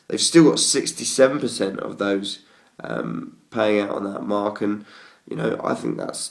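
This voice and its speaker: A man's voice: fairly low pitch, creaky. An English man with a head cold, sounding bunged up, giving football betting tips in a hesitant, stats-heavy way with lots of ums and uhs. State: congested from a cold. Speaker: betting tipster.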